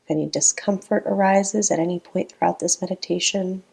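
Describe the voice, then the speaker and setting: A woman leading a guided meditation in a calm, gentle, unhurried manner with long pauses between phrases. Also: lowish voice, vocal fry, breathy edge.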